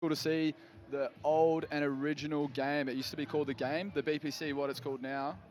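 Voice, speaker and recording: A man's voice: on the high side, flat delivery, a little fast, a little mellow. A man chatting casually as a commentator.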